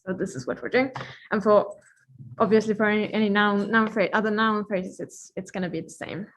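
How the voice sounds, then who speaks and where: A woman who is a native Finnish speaker gives an informal live-coding lecture, thinking aloud with playful asides and pauses mid-thought. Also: mid-range voice, fairly even intonation, slight background noise. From Finland.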